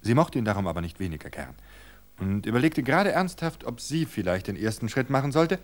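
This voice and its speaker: A man reading a mystery story aloud for radio in a calm, measured way. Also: mid-range voice. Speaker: reader.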